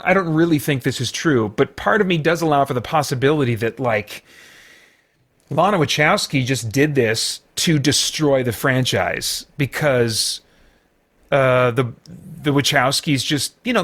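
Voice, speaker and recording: Higher-voiced American man, rambling casual review. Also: fairly bright tone. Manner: halting, rambling, thinking aloud. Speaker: review show host. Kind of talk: casual unscripted film review.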